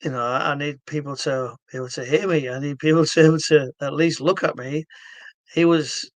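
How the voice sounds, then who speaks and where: A man's voice: a little high, fairly even intonation, brisk, no echo. A man from England with a Yorkshire accent, talking earnestly to camera about his stroke therapy method, with lots of fillers and restarts. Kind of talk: casual talk to camera.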